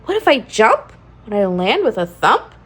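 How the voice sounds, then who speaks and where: Woman reading as a high, curious, worried little boy. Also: sing-song, normal speed, slightly rough. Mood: wondering, dismayed. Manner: exclaiming, questioning, wide-eyed delivery.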